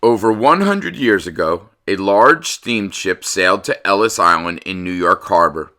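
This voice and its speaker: A man reading a true story aloud for children in a measured, deliberate teacher's manner. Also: on the low side, pushed and bright.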